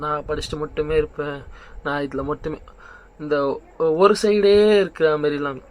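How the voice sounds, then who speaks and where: Higher-voiced young man giving advice. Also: slight background noise, poor audio. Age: young adult. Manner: conversational, checks in with rhetorical questions. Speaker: vlog host. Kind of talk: informal motivational advice to camera, mixing English words and phrases.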